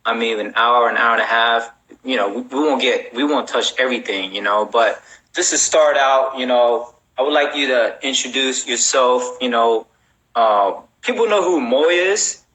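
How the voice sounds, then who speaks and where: A man's voice: medium pitch, moderate pace, sharp tone, rough recording. A man hosting a casual chat in a loose, slangy, rambling way with frequent check-ins. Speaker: host.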